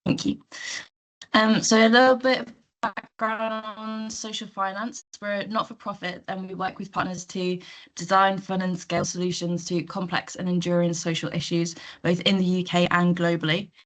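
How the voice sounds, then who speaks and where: A woman presenting slides in an online meeting, her voice croaky from illness, informal with hesitant fillers. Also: lowish voice, flat delivery, moderate pace, fairly bright tone. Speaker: guest presenter.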